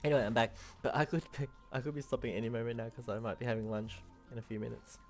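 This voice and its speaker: A woman gives casual commentary while playing a game. She talks in a chatty, slightly hesitant way, as if to her viewers. Soft game music plays behind her. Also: very deep voice, quick pace. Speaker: game streamer.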